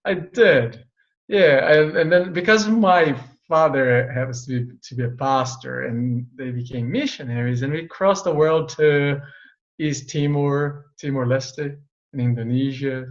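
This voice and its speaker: A man's voice: mid-range voice, measured pace. A young male pastor from Brazil, a native Portuguese speaker, chatting in an easygoing, modest way and laughing often. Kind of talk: casual interview chat. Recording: dull lo-fi sound.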